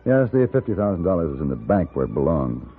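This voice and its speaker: Through a narrow, dull vintage radio recording comes a loyal Western gunfighter hero. His voice is deep and grave, with clipped, measured phrasing in 1950s radio drama style. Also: very animated, husky, soft background music, full character voice. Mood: somber.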